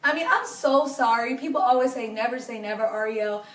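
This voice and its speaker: A young woman with a hoarse, raspy voice, chatting earnestly with viewers during a live stream from her home. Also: a little high, fairly quick, fairly bright tone, distant mic. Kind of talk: casual faith-based advice talk to viewers. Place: home living room. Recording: echoey room, voice off-mic.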